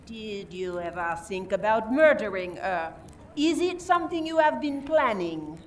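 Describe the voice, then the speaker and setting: A woman playing a suspicious French police inspector in an amateur radio play, with a dramatic, deliberate, accusatory delivery. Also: mid-range voice, lively intonation, far from the mic, slight background noise, close to an everyday voice. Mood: accusing.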